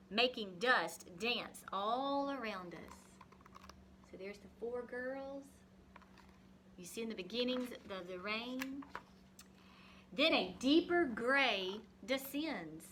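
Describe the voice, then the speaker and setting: A woman teacher reading a picture book aloud expressively to young children, pausing to ask guiding questions and explain things simply. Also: on the high side, sharp tone, slight background noise.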